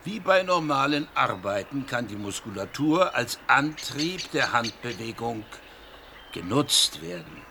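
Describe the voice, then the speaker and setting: A proud older surgeon who lectures his guests with easy self-assurance. The tone is bright and forceful, and a faint hiss sits underneath. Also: medium pitch, animated, unhurried, creaky, full character voice. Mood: lecturing. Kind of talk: radio play acting.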